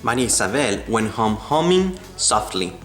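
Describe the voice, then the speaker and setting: A man reading a children's book aloud in a measured way, stumbling now and then. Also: fairly low pitch, big pitch swings, a bit bright. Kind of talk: storybook read-aloud. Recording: roomy echo, music behind the voice.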